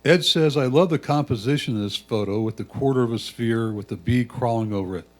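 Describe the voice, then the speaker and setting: A man's voice: mid-range voice, brisk, darkish tone. A man reading a judge's written photo critiques aloud at a club competition. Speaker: reader of judges' comments.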